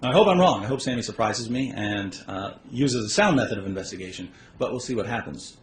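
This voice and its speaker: A man debater closing his argument in a confident, measured way. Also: fairly low pitch, expressive. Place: live debate hall. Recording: echoey hall, faint background noise.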